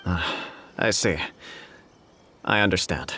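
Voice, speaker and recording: An actor playing a gracious, diplomatic government official in a video game, formal, composed and deliberate. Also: male voice, sits low, slight background noise, full character voice. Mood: understanding. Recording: soft music behind the voice.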